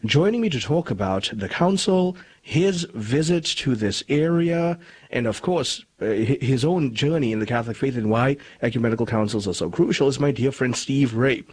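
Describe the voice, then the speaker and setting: A man hosting an interview show, introducing his guest in a polite, measured way. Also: mid-range voice, lively intonation, darkish tone, breathy edge. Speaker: host.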